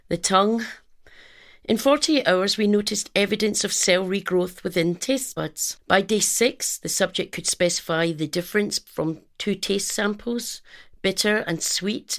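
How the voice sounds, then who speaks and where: An actress playing an earnest research scientist in a radio drama, speaking in a measured, clinical manner. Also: female voice, medium pitch, close to an everyday voice. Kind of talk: audio drama acting.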